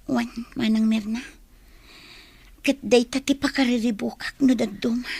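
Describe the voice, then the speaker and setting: A woman's voice: fairly high pitch, steady tone, normal speed, vocal fry, dark tone, slightly rough, no room sound. A woman playing a troubled, guarded young woman, subdued and hesitant, in an Ilocano audio drama.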